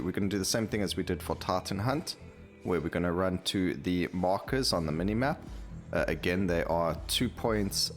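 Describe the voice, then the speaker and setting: A man giving casual gameplay commentary as a video creator, talking over game audio. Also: sits low. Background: game music.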